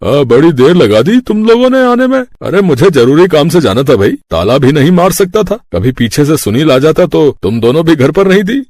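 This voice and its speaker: A man's voice: on the high side, very animated, moderate pace, few pauses, a little mellow, dry recording, studio quality. Man playing an easygoing older father-in-law. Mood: mildly annoyed.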